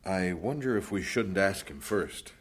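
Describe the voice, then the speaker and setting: An actor playing a patient, firm and devout missionary husband in a radio drama, speaking in a measured, earnest way. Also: male voice, deep voice, animated, breathy edge, heavily acted. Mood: quiet, earnest.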